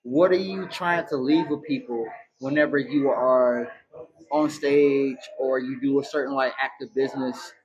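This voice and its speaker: A man's voice: medium pitch, steady tone, a little slow, a little mellow, lo-fi sound. A man hosting a casual interview, asking loose, rambling questions in slang. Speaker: host.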